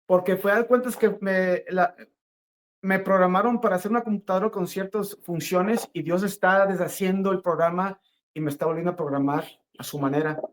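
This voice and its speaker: A middle-aged man giving an earnest, spontaneous personal religious testimony, speaking haltingly and repeating phrases for emphasis. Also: high-pitched, fairly even intonation.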